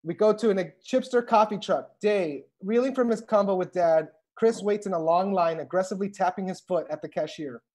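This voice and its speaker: A woman narrates a script's stage directions at a table read. Her voice sits at a middle pitch, and her delivery is informal and a little wry. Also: a little fast, hardly any pauses, darkish tone.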